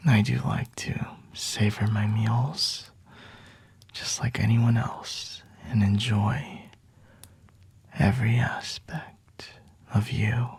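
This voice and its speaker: A man playing a flirty, teasing, predatory vampire in an ASMR roleplay, with a breathy, husky whisper and intimate delivery. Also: lowish voice, level tone, slow, dry recording, full character voice.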